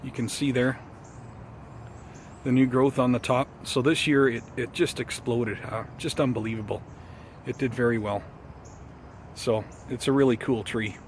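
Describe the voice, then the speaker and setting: A man hosting a casual gardening vlog outdoors, talking informally to viewers in an unhurried, hesitant way. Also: mid-range voice, fairly even intonation, slight background noise. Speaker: host of a bonsai gardening vlog.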